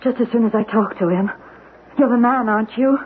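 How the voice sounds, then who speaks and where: A very high-voiced, distraught woman played by an actress in a vintage radio drama. She pleads and repeats herself, close to tears. The sound is tinny, with steady noise from an old broadcast. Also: a little fast, close to an everyday voice. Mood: agitated pleading. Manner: pleading, repetitive, insistent. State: tearful, on the verge of crying. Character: distraught, unstable woman psychiatrist, pleading and weepy.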